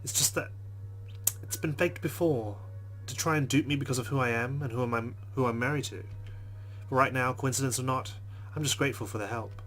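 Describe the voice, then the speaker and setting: A man hosts a let's play and reads a visual novel's narration aloud. His delivery is plain and measured. His voice sits in a mid range. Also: medium pitch, fairly bright tone, rough recording.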